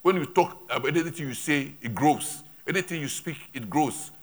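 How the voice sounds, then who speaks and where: A man preaching a sermon in a church, speaking in short emphatic phrases and repeating lines for an interpreter. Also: fairly high pitch. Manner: emphatic, repetitive, pauses for interpretation.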